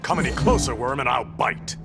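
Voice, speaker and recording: A proud video game king of the deep. He speaks in stern, imperious tones at a medium pitch that rises and falls dramatically. Loud music plays behind him. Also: animated, brisk, vocal fry. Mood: menacing. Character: proud, haughty warrior king of an undersea realm.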